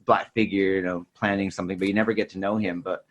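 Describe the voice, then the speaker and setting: A man's voice: low-pitched, little pitch movement, fast, background noise. A man talking casually and ramblingly as a podcast host in a film discussion, often tacking on filler phrases. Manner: rambling, repeats "you know" and "sort of thing".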